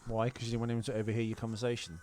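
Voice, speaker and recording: A man's voice: medium pitch, flat delivery, very fast, darkish tone. Man podcasting over music. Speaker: podcast co-host. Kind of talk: casual film podcast banter. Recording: music playing behind the talk. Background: film soundtrack music.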